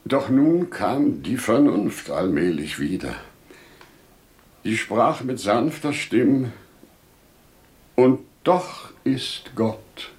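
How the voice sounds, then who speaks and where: An actor playing a wise, devout older merchant father in solemn, declamatory, deliberate 1950s radio play style. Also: on the low side, sing-song, a little mellow. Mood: anguished, solemn. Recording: 1950s radio drama recording.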